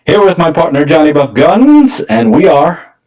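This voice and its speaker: A man co-hosting a casual film discussion podcast, talking in a halting, enthusiastic fan's manner. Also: fairly high pitch, very animated, a little mellow, far from the mic, poor audio. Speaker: podcast co-host.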